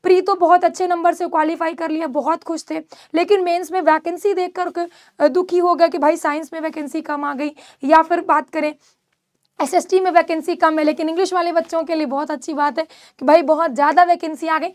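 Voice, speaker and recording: A young woman exam-prep teacher speaking to students in an animated, direct way, gesturing in a studio with a lapel mic. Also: very high voice, fairly quick, no echo. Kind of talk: energetic online class announcement. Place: studio in front of a presentation screen.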